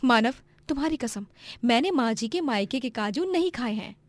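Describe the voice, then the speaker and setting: A woman voicing an indignant, argumentative, pleading young daughter-in-law in an animated moral story. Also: a little high, moderate pace, dry recording, close to an everyday voice.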